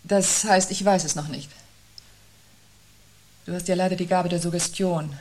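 An actress playing a sceptical, probing wife, unsettled yet dryly ironic, with a husky voice and measured, articulate delivery in a 1970s radio play. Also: female voice, on the low side, fairly even intonation, darkish tone, slight background noise, lightly acted. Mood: probing, wary.

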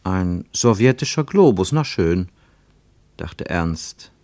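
Wry radio-play narrator, lower register, measured and precise, very dry studio sound. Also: male voice, sing-song, dark tone, no room sound, exaggerated voice. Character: literary narrator of a Cold War journey, wry, measured. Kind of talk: scripted literary narration, German radio play.

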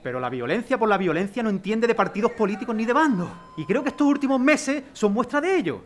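A man playing a principled city mayor, courteous and measured yet firm. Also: high voice, sing-song. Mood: firme. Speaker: mayor in a radio drama. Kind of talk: amateur radio drama acting.

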